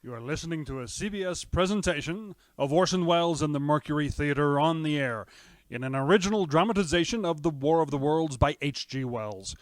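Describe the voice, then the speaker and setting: A man's voice: a little high, expressive, fairly quick, dry recording, lightly acted. A man playing a formal network announcer, reading a scripted introduction to a radio play.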